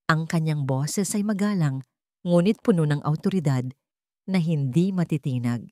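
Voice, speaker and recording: Female narrator, lowish register, scripted dramatic storytelling, very dry sound. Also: normal speed, a little mellow, no room sound.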